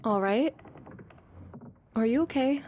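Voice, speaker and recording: A woman's voice: fairly high pitch, expressive, slow, a little mellow. A woman acting in a conversational stage drama, playing a guarded, sceptical former lover with a blunt, dry, sparring manner. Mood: cool, regretful. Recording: faint background noise.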